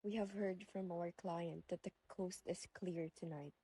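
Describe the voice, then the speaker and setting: A woman's voice: lowish voice, fairly even intonation, unhurried, warm tone, slightly rough. A teenage girl playing a cold, businesslike crime boss in an amateur radio drama. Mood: cold, instructing. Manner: commanding, matter-of-fact.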